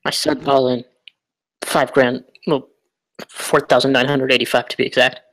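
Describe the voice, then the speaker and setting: Understated, even-voiced clerk gambler man reading. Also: mid-range voice, moderate pace, lightly acted, low-quality recording. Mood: determined. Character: nervous but determined male shop clerk gambler. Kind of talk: script table read acting.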